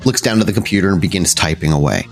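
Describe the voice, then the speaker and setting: Animated male game master, swooping pitch. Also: creaky, soft background music. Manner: animated, halting. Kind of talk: improvised tabletop game narration.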